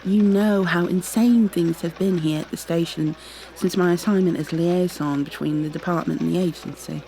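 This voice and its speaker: A woman's voice: lowish voice, steady tone, normal speed, dark tone, lightly acted, poor audio. A woman playing a proud, exasperated goo girl special agent with prim, formal wording in an ASMR roleplay. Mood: weary, earnest. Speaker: voice actor in an audio roleplay.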